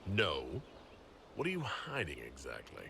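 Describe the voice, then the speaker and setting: A man's voice: rumbling low, wildly swooping pitch, measured pace, vocal fry, pushed and bright, slight background noise, heavily acted. An actor playing a wry, self-assured male hero in a video game, with a dry, teasing manner. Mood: teasing, curious. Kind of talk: video game cutscene acting.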